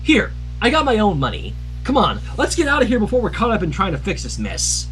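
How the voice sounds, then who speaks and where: A small young dragon, male, brash and protective, as put on by a story reader, with pitch that leaps around. He sounds like a loyal little troublemaker in a read-aloud cartoon fan story, over faint music. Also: huge pitch swings, brisk, fairly bright tone. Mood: friendly, reassuring. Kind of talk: dramatic fan fiction reading.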